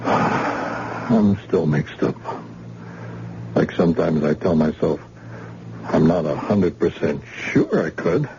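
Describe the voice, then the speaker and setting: Wounded witness, halting, dark tone, dull lo-fi recording with faint hiss. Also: male voice, extremely low pitch, heavily acted. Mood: scared. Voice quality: gravelly, halting and hesitant. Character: wounded witness man, shaken and scared. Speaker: shot crime witness in hospital. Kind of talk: vintage radio drama acting.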